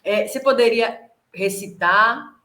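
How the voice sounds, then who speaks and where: A woman's voice: on the high side, a little slow, sharp tone. A woman hosting an interview, friendly and affirming as she wraps up. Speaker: host. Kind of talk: casual interview. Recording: roomy echoey room.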